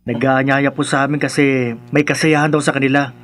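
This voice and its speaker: An actor playing a glib, loyal young sidekick in a radio drama. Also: male voice, medium pitch, little pitch movement, brisk, a bit bright, lightly acted. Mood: polite, smooth. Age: young adult.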